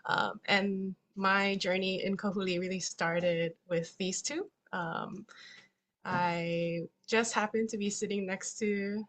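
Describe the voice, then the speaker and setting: Woman from Hawaii, webinar presenter, chatty and relaxed, mid-range voice, computer mic on a video call. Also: medium pitch, slow. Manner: chatty, with frequent ums and local Hawaiian words. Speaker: stewardship coordinator presenting a webinar. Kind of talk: casual online presentation.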